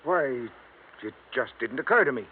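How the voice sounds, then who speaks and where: A man's voice: mid-range voice, expressive, normal speed, husky, narrow, tinny audio. A man playing a stuffy, evasive senior lawyer in an old radio drama, speaking in a prim, formal, huffy way. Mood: smoothly evasive. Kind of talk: 1950s radio drama acting. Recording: thin old radio broadcast, faint noise.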